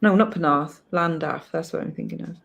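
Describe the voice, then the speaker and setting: A woman with a Cardiff Welsh accent chats casually and jokes. Her voice sits a little low for a woman. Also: a little fast, creaky, a bit bright. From Wales. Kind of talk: casual chat.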